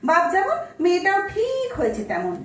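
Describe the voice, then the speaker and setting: A woman plays a fussy, sharp-tongued mother in a radio-style play. Her high voice swings animatedly as she nags and pries with sardonic exasperation. It sounds echoey, picked up from a distance. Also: huge pitch swings, fairly quick, exaggerated voice. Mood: nagging, inquisitive. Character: fussing, sharp-tongued mother of a married daughter. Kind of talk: Bengali audio-play acting on stage. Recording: echoey room, distant mic.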